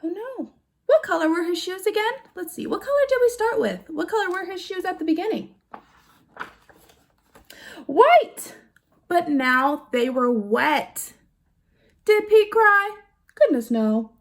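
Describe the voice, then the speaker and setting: A woman reading a picture book aloud to young children in an animated way, putting questions to her listeners. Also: very high-pitched, unhurried, long pauses. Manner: animated, with gasps and exclamations. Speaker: storybook reader for young children.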